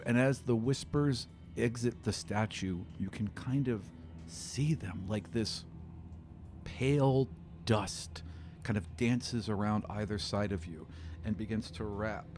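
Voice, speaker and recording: Game master, thirties, low voice, relaxed delivery, faint music behind. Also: male voice. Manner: easygoing, lots of fillers. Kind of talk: narrating a tabletop game. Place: studio set with a desk. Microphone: studio mic on a stand.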